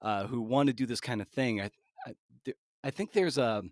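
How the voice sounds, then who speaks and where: Male podcast host: casual, halting, thinks aloud, medium pitch, dry room. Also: lively intonation, no echo. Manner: halting, rambling, thinking aloud with repeated words. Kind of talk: casual conversational interviewing.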